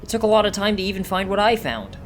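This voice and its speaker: A man's voice: high-pitched, very animated, quick pace, faint music behind. A man playing a nervous, inquisitive teenage boy, speaking uneasily and conversationally in a comic dub. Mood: uneasy, explaining. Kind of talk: dramatic dialogue in a comic dub.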